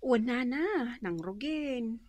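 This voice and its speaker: A woman playing a gentle, long-suffering young wife in an Ilocano radio drama, with a husky voice. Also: a little high, expressive, slow pace, no echo. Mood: plaintive.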